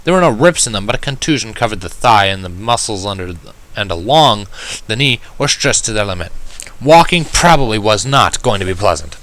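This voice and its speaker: Bright-voiced man narrating a story. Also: lowish voice, animated, brisk, rough recording. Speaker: fan fiction reader. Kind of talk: first-person story narration, read aloud.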